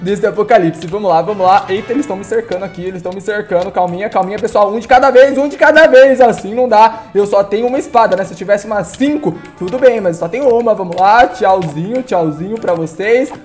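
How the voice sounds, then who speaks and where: A young man from Brazil, giving excitable, chatty gameplay commentary on a headset from his home gaming room, sounding congested from a cold. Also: sits high, quick pace, hardly any pauses, faint music behind. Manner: excitable, chatty, addresses viewers. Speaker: gaming YouTuber.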